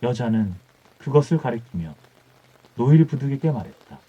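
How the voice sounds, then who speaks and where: A man's voice: mid-range voice, lively intonation, normal speed, warm tone, breathy edge. A man reading an old folktale as scripted bedtime audiobook narration. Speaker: audiobook storyteller. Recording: dull muffled audio with music.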